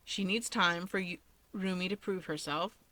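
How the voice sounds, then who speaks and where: A woman's voice: fairly low pitch, fairly even intonation, moderate pace, sharp tone. A woman playing a haughty, protective older brother in a story, with blunt, dry delivery. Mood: insistent.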